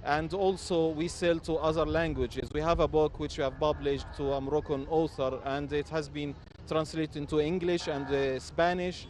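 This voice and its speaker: A man from Egypt, a publisher answering interview questions in a measured way with pauses. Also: on the high side, steady tone, darkish tone, slight background noise. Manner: measured, pausing with fillers. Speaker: guest publisher.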